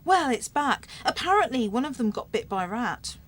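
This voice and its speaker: Sly blunt check-in woman, bit high, up and down, radio comedy. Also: sing-song, normal speed, fairly bright tone. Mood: dismissive. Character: brazen, scheming female airport check-in clerk.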